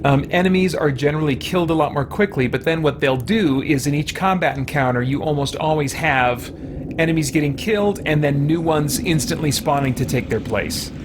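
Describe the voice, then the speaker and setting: A man hosting a gaming livestream, chatting casually and thinking aloud in a rambling way. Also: fairly quick. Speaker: livestream host. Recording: noisy background rumble.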